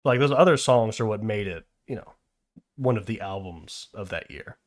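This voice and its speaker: Guy hosting a music podcast, voice going a bit hoarse, bouncy pitch, lots of likes and you knows. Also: animated, moderate pace. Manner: loose, filler-heavy, playful. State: losing his voice. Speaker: podcast host. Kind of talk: casual music review chat.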